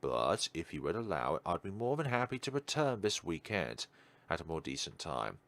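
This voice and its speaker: A courteous necromancy scholar from a fantasy visual novel, voiced by a man reading along. He speaks formally and gently in a deep voice, with careful good manners. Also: big pitch swings, normal speed, full character voice. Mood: courteous, gentle.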